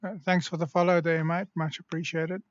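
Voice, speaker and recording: Flat-toned man streaming races. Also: a little high, no echo, dull audio. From South Africa. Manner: easygoing, explains car handling between pauses. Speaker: sim racing streamer. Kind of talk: live-stream commentary while racing.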